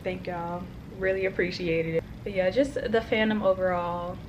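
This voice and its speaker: Young woman, hoarse, raspy, chatty vlogger, gushing, phone camera, clear background noise. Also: fairly low pitch, steady tone, normal speed, muffled recording. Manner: gushing, chatty, peppered with "like" and "literally". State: hoarse after a concert. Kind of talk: casual vlog chat to camera.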